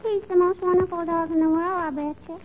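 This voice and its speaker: A woman's voice: very high voice, fairly even intonation, fast. A woman playing a cute, pouty, whiny little girl who repeats herself, in 1940s radio comedy style. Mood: earnest, wistful. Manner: childish, repeats herself, "I bet you" tags. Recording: tinny, noisy 1940s radio recording.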